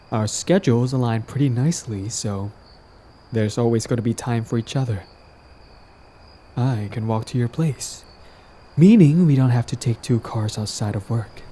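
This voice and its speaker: A man's voice: medium pitch, mellow. A man playing a smug, possessive young villain in a romantic audio drama, talking in a casual, teasing, cajoling way. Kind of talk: roleplay audio drama, conversational acting.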